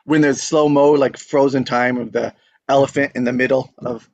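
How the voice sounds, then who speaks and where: A man's voice: mid-range voice, steady tone, normal speed, vocal fry, darkish tone, muffled recording. A man hosting a table read, talking in an informal, rambling way as he chats with the group. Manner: informal, rambling, quotes own thoughts. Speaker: host of a live script table read. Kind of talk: casual group chat.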